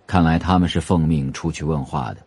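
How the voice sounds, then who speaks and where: A man narrating a novel for an audio drama in a measured, atmospheric storytelling manner. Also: rumbling low, a little mellow, husky.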